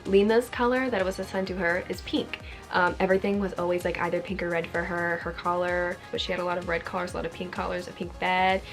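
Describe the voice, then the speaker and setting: A woman's voice: fairly low pitch, fairly even intonation, quick pace, few pauses, over music. A young woman chatting casually in a vlog, answering fan questions with lots of "like" fillers and the odd swear word.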